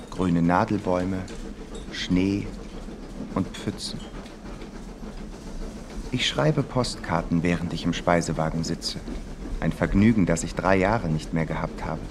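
Music runs clearly under a reflective composer narrating his diary. The voice is fairly low, measured and dryly observant, in the intimate form of a radio play monologue.

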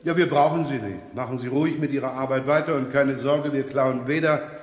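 A man's voice: medium pitch, a little fast, natural voice. An actor playing a grumbling, wry and brusque male police inspector in a 1970s radio crime drama. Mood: brisk, sly.

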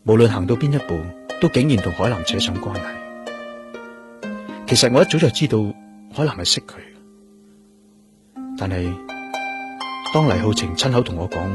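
An actor with a Hong Kong accent playing a determined, probing police detective in a radio drama. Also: male voice, fairly low pitch, lively intonation, moderate pace, pauses often, natural voice. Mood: brooding, probing. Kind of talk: Cantonese radio drama acting. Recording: music bed behind the voice.

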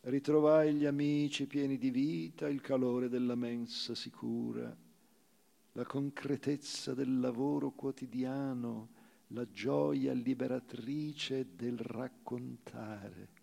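A man giving a solemn, measured dramatic recitation of literary prose on stage, with deliberate pauses. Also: medium pitch, fairly even intonation, vocal fry, mellow. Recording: live theatre recital with long hall reverb.

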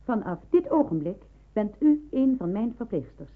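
Stern, emphatic hospital matron. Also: female voice, mid-range voice, big pitch swings, normal speed. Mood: brisk, authoritative. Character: strict, brisk hospital matron with authority. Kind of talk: radio play acting. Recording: narrow-band old radio recording.

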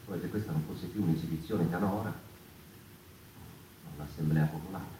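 A man performing a halting, reflective theatrical monologue as a brooding voice of the mouse people. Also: sits low, mellow, natural voice. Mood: rallying, reflective. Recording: echoey, distant, faintly noisy room recording.

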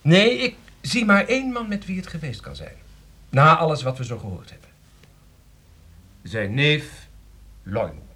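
An actor in a Dutch radio play playing a calm, sharp-witted amateur sleuth who reasons precisely and probingly. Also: male voice, fairly high pitch, measured pace, pauses often, slightly rough, exaggerated voice. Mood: quietly triumphant.

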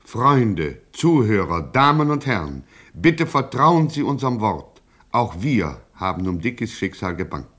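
An actor playing a melodramatic radio serial narrator, solemn and declaiming with mock pathos. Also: male voice, on the low side, sing-song, measured pace, a bit bright, faint music behind. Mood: ominous.

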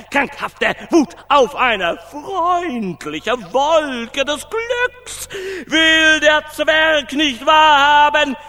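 A sneering male orator in a radio play, whipping up followers. The voice is very high and piercingly bright, declaiming slowly with scornful shouted stresses. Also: heavily acted. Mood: indignant. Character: shrill male rabble-rousing orator, sneering, whipping up a crowd. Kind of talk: radio play political speech. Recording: crowd behind the voice.

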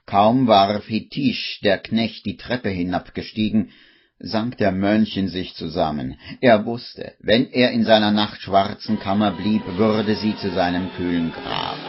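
A man acting as grave narrator of a dark tale in a 1950s radio drama, dramatic and suspenseful. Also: lowish voice, animated, a little slow, husky, full character voice. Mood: ominous. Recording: muffled vintage radio recording with music.

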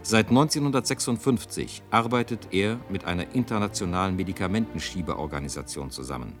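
Male narrator for radio drama recap, deep voice, scripted read over faint music. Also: lively intonation, a little fast.

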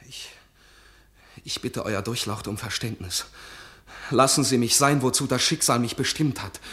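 A man's voice: medium pitch, normal speed, fairly bright tone, close to an everyday voice. An actor playing a young army doctor and poet who pleads with his ruler in a radio play, deferential and earnest. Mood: pleading. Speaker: regimental medic and playwright. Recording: roomy radio drama studio.

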